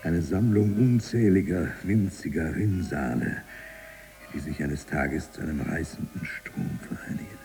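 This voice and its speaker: An embittered libertine writer with revolutionary zeal, speaking from confinement in a radio play. His voice is extremely low, dark and full of fry. He broods and declaims deliberately, sinking toward breathy murmurs, with music faintly present. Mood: brooding, defiant. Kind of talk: literary radio drama monologue.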